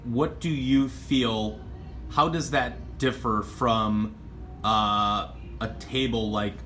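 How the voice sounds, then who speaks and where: A man's voice: medium pitch, a bit bright, slight background noise. A man interviewing a coworker, asking long questions full of restarts and fillers. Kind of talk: workplace interview.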